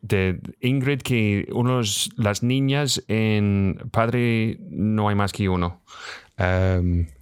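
Slow, halting man, smooth voice. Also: fairly low pitch, steady tone, dry recording, clean studio sound. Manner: hesitant, halting. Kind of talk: casual panel chat.

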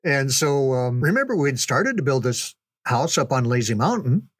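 An older man with a mid-pitched voice tells a story in a casual, folksy manner. He takes his time and pauses to stress details. The recording is dry, with very little room sound. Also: big pitch swings, breathy edge, no echo. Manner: unhurried, folksy, halting with pauses for emphasis, chuckling. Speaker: podcast storyteller, reminiscing father.

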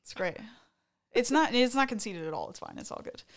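A woman's voice: fairly high pitch, expressive, brisk. A woman co-hosting a podcast, chatting casually about a show with a playful, dryly teasing manner. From Canada. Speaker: podcast co-host. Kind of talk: casual chat, recounting a concert.

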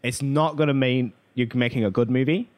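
A man with a medium-pitched voice co-hosts a casual pop culture discussion. He talks informally and offers his opinions in a conversational way. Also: steady tone, fairly quick, darkish tone. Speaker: podcast co-host.

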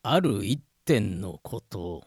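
An actor playing a nosy, rough-talking prison cellmate, questioning theatrically in a comedic radio drama. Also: male voice, lowish voice, sing-song, slow, darkish tone, dry recording, clean studio sound, heavily acted. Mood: baffled, astonished.

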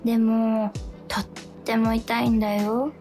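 Slow, languid temptress demon. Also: female voice, fairly high pitch, level tone, soft background music. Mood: hurt, reproachful. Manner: soft, drowsy, coaxing. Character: languid, gently tempting female demon of post-holiday blues. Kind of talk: radio drama acting.

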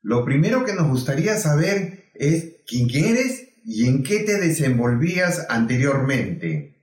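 A man hosting an interview, introducing his guest in a measured, formal way. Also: medium pitch, big pitch swings, roomy sound. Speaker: host. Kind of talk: presenting an interview.

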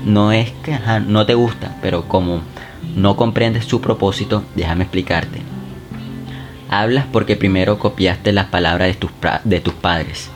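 A man's voice: on the low side, fairly even intonation, a little slow. A man hosting a self-help podcast, coaching the listener directly in a casual, encouraging way. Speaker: podcast host. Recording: music bed behind the voice.

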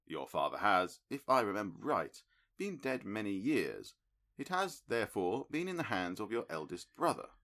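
A man reading an audiobook, voicing a calm, analytical middle-aged male detective who explains his reasoning in a crisp, precise, lecturing manner. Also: fairly low pitch, normal speed, pitched above the performer's own voice.

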